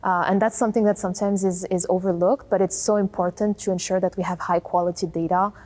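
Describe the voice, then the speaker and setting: Female interview guest, slightly low register, scientist-founder giving explanatory answers. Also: steady tone, quick pace, a little mellow.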